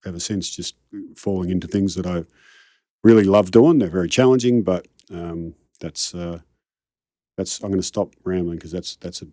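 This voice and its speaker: A man's voice: sits low, moderate pace, dark tone, dry recording, clean studio sound. A middle-aged Australian man, a coach telling his career story in a casual interview, rambling and self-deprecating. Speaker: high-performance coach, guest.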